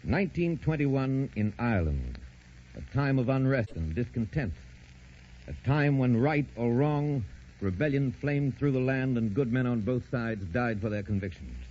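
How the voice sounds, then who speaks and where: A man's voice: medium pitch, lively intonation, normal speed, darkish tone. A man narrating a scripted drama as a vintage radio host, in a solemn, formal manner. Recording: muffled, faintly noisy old radio recording.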